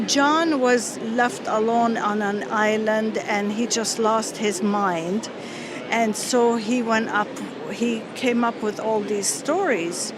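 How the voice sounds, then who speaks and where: A woman from Lebanon tells her story slowly and earnestly, pausing often and searching for words. Her voice sits a little high for a woman. Traffic and street sounds are clearly heard behind her outdoors. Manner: earnest, halting storytelling with many fillers. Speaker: interview guest. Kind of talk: personal testimony.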